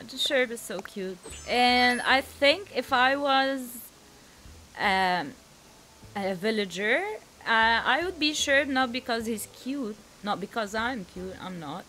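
A woman's voice: fairly high pitch, fairly bright tone. A young woman with an Egyptian Arabic accent, a native Arabic speaker, chatting spontaneously and giggling as she comments on her game. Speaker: gaming YouTuber. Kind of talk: casual gameplay commentary. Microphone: headset.

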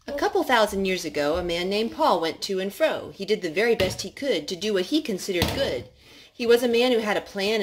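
A woman reading children's picture books aloud in an expressive, measured storytime style. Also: medium pitch, a little hiss or hum. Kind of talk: read-aloud storytime narration. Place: indoors at home.

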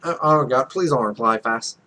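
Young adult male gamer, mid-range voice, slow delivery, irreverent and foul-mouthed, narrating a game casually. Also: medium pitch, bright, forceful tone. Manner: irreverent, sweary. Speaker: let's play host. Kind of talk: let's play, reading game text aloud with casual asides.

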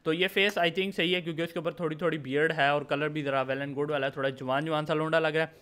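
A man's voice: fairly high pitch, fairly even intonation, quick pace, fairly bright tone, clean tone. A man with a Pakistani accent doing a casual, informal game commentary voice-over, mixing in English words. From Lahore, Pakistan. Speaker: gaming video host.

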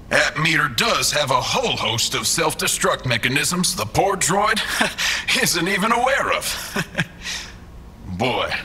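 An actor playing a smug, mocking mastermind employer in a scripted audio drama, with a theatrical, falsely polite, condescending manner. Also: male voice, fairly high pitch, pushed and bright, slight background noise, heavily acted. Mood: mock apologetic.

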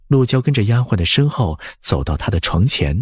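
A man narrates an audiobook story expressively. His voice is low and husky with lively swings in pitch. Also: fairly quick, no echo, studio quality.

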